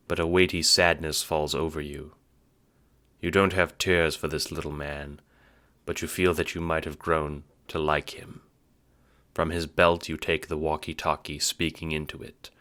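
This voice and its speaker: A man's voice: a bass voice, sharp tone, clean tone. A man giving dramatic, scripted storytelling narration for an audio drama.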